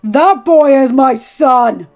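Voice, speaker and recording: A stern middle-aged lord, furious and cold, who bites off his words and builds to loud shouts, as a woman reading the story puts him on. The recording sounds dull and lo-fi, with faint music underneath. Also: medium pitch, steady tone, measured pace, natural voice. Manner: cold, clipped, raising to shouts.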